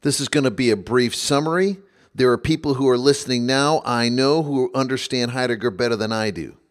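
A man's voice: medium pitch, a little fast. A man hosting a solo podcast, talking in a thoughtful, conversational way with occasional wry asides. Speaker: podcast host. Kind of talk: reflective solo podcast monologue.

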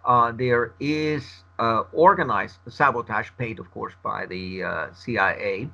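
A man whose first language is Spanish gives a long interview answer, arguing in a measured, emphatic way. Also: medium pitch, vocal fry, sharp tone. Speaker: interview guest. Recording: dull lo-fi recording.

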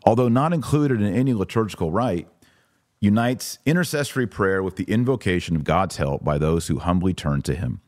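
A man's voice: deep voice, darkish tone, dry recording, clean studio sound. A man hosting a podcast of religious commentary, reading aloud and reacting in an emphatic, informal, argumentative way.

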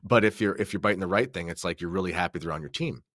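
A man's voice: low-pitched, rapid-fire, a bit bright, no room sound, studio quality. A man from Canada speaking as a podcast guest in a casual religious discussion, explaining things in an earnest, conversational way.

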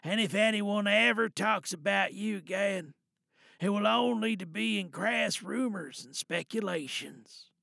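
A man playing a protective, grim older father in an audio drama monologue, speaking in a halting, deliberate and coldly calm way. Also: high voice, vocal fry, no echo, studio quality, heavily acted. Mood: cold menace. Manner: halting, hushed reassurance turning cold and deliberate. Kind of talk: dramatic audio monologue, one side of a phone call.

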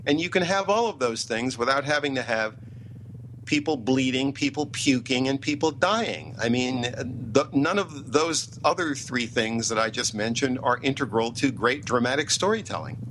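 A man's voice: mid-range voice, lively intonation, normal speed, a bit bright, low-quality recording. Middle-aged male interview guest, mid-range voice, emphatic and opinionated delivery, faint background noise. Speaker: interview guest, TV writer and producer. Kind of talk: interview talk.

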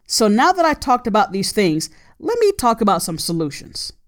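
A woman's voice: medium pitch, big pitch swings, moderate pace. A woman in her late thirties hosting an advice podcast, talking earnestly and repeating her key points for emphasis. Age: 30s. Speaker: podcast host.

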